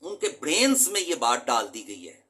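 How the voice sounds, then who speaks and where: A man's voice: mid-range voice, wildly swooping pitch, moderate pace, pushed and bright. A man from Pakistan, an English teacher, giving an earnest, emphatic lecture to his students and mixing English terms into his talk.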